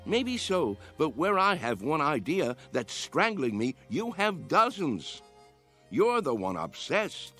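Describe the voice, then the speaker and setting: A man's voice: fairly high pitch, big pitch swings, slow, fairly bright tone, heavily acted. An actor playing an earnest, persuasive old man with a dreamer's young heart in a radio drama. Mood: defiant, impassioned. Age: older. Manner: earnest, persuasive, challenging with questions. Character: old man, impassioned youthful-hearted dreamer coaxing others to play. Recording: radio drama with music underneath.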